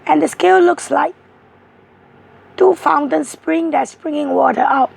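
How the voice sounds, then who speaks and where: A woman with a hoarse, strained voice slowly explaining tarot cards. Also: high-pitched, a little mellow. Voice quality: rough, strained voice. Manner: slow, halting, repetitive explaining. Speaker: tarot card reader.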